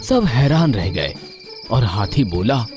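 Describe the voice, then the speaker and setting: Guy narrating a kids story, super lively intonation, takes his time, music behind. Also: medium pitch, slow. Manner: expressive, storytelling. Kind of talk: scripted children's story narration.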